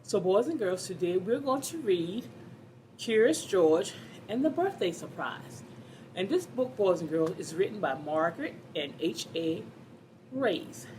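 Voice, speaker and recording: A woman's voice: on the low side, expressive, unhurried, a little mellow, a little hiss or hum, rough recording. A woman reading a children's story aloud, expressively, addressing her young listeners directly.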